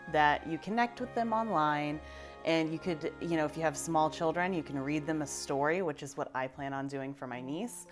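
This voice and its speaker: A woman with a notably low voice chats through her makeup routine in a relaxed vlog. She sounds upbeat and a little self-deprecating. Soft music plays faintly behind her. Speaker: vlogger. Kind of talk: casual get-ready-with-me vlog.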